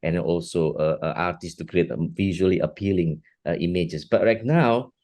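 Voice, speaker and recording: A middle-aged man from Singapore, answering interview questions in a halting way, often restarting and repeating words. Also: low-pitched, a little mellow. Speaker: guest, art technologist and educator.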